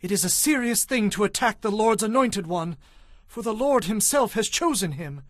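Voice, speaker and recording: Devout young warrior, fervent and pleading, very high voice, dry audio-drama sound. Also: male voice, lively intonation, normal speed, no room sound. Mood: remorseful, firm. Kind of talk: dramatized audio Bible acting.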